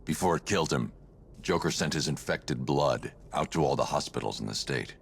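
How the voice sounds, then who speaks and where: An actor playing a grim, terse masked vigilante in a video game, with a gravelly voice and grave delivery. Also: male voice, very deep voice, animated, normal speed, slight background noise, heavily acted. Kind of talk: cinematic video game acting.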